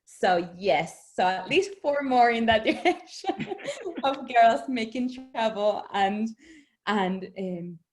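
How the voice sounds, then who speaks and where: A middle-aged woman from Argentina, a native Spanish speaker, talking as an author guest in an interview, animated and laughing often. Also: fairly high pitch, slow. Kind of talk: conversational interview.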